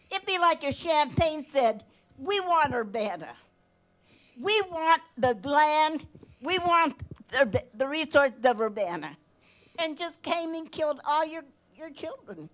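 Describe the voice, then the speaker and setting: An older woman giving an earnest, emphatic public comment, breaking her speech into short phrases with pauses. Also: high-pitched. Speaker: public commenter at a council meeting.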